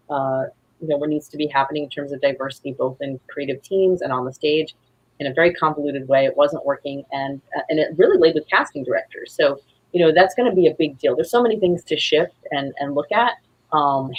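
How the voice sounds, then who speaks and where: A woman with a husky voice chatting casually as an interview guest, effusive and rambling. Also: low-pitched, low-quality recording. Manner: effusive, rambling, acts out quotes. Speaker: guest, playwright.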